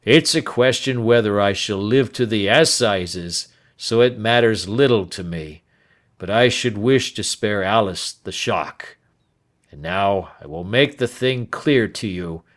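A man voicing a dying, remorseful older man in an audiobook, speaking earnestly with a slow, heavy delivery. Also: on the low side, fairly bright tone. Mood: grave. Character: aging male rancher, dying and remorseful. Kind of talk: audiobook character voice.

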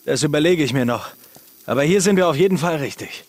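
An actor playing a scrappy, quick-witted young treasure hunter in a dubbed video game, casual, wry and expressive. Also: male voice, on the high side, no room sound. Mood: pondering. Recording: music behind the voice.

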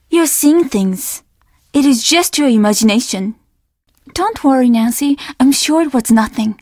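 A young woman who runs a Japanese inn in a video game. Her voice sits high and is recorded very dry. She is earnest and formally polite, apologetic, and carries her troubles with her. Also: darkish tone, no room sound. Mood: reassuring, firm. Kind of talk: video game character dialogue.

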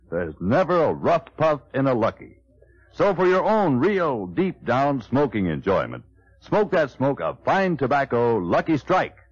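An American man reading a 1940s radio advertisement as an emphatic, measured announcer. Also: mid-range voice, husky. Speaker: commercial announcer. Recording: muffled 1940s radio recording.

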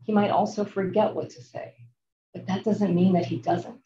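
A young woman giving a rhythmic, measured poetry reading over a video call. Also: medium pitch, fairly even intonation, mellow, slight background noise. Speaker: poet reading. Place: large room with seated audience. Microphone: video call, off to the side. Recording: distant muffled echoey room audio.

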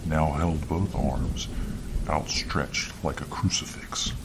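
A man narrating a scripted horror story in ASMR style, with an ominous, drawn-out delivery. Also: very deep voice, background noise. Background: background music.

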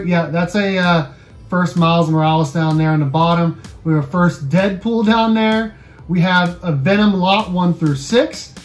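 An American man in his thirties hosting a casual video, running through items for sale in a room at home. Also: high voice. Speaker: YouTube channel host. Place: small room at home, indoors.

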